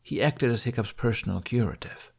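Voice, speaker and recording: A man reading fantasy fiction aloud as an audiobook narrator, in an expressive scripted storytelling style. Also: mid-range voice, a little fast, creaky, slightly rough.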